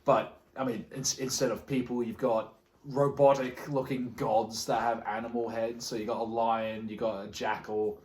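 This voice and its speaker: A man's voice: medium pitch, level tone, normal speed, darkish tone. A man chatting casually as a podcast co-host, informal and sweary in his talk, with a roomy echo around his voice. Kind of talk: casual group podcast chat. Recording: roomy, echoing room sound.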